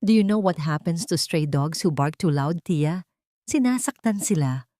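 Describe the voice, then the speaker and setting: A woman voicing a scheming, cruel doctor's wife who whispers threats in a falsely calm tone. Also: low-pitched, a little slow, no room sound, studio quality. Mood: menacing whisper. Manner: soft menacing whisper.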